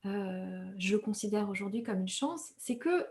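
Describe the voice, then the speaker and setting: A woman from Rwanda, raised speaking Kinyarwanda, giving thoughtful interview answers as an author, measured and pausing often to find her words. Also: mid-range voice, expressive, darkish tone, a little hiss or hum. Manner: thoughtful, measured, with frequent hesitations and self-corrections.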